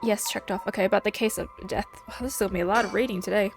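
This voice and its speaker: A woman's voice: mid-range voice, steady tone, rapid-fire, soft background music. A woman playing a game, reading its dialogue aloud and commenting playfully in between, her voice stuffy from allergies. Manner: reads lines and then comments playfully. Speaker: let's play gamer.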